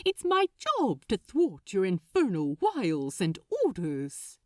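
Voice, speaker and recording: Audiobook angel, male, played by a woman: gentle, proper and anxious, with highly animated intonation and dry, close sound. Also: slow, no echo. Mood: excited, earnest. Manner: polite, earnest, formal wording. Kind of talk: dramatic audiobook character acting.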